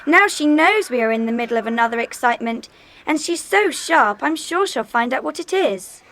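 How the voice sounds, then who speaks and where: A forthright young schoolgirl in a children's audio mystery. The voice sits high and moves around a lot, with emphatic delivery. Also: expressive, normal speed, fairly bright tone, a little hiss or hum. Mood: indignant. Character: forthright, indignant schoolgirl club member.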